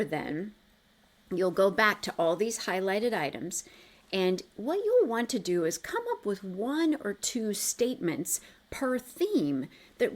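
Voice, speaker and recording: A woman coaching listeners step by step through an exercise, encouraging and reassuring, repeating key points for emphasis. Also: fairly low pitch. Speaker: coach guiding a self-reflection exercise. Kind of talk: instructional coaching talk, step by step.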